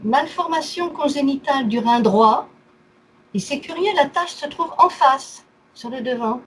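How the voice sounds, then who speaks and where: Very high-pitched woman, short itemizing phrases. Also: expressive, measured pace, fairly bright tone, poor audio. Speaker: therapy client. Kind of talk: casual recounting of health complaints. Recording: faint background noise.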